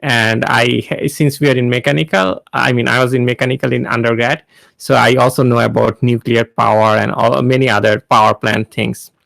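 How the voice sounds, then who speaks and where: A man's voice: mid-range voice, level tone, moderate pace, gravelly, fairly bright tone, no room sound. A man in his thirties, from Bangladesh and speaking with a Bangladeshi accent, gives a casual, hesitant talk to students over a video call, with many fillers and restarts. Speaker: guest speaker, NASA engineer.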